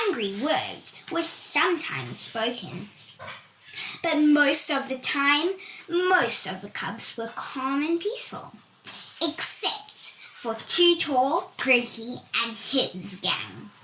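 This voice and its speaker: A woman reading a children's storybook aloud in a deliberate, expressive storytelling manner, with emphatic swoops. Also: high-pitched. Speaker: volunteer story reader. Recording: echoey room with background hiss.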